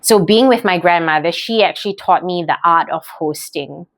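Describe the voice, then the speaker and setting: Malaysian lady vlogging her life story, lowish voice, chatty and rambly, dry close sound. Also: normal speed, no room sound. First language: English. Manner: chatty, rambling, self-correcting. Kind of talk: casual personal storytelling.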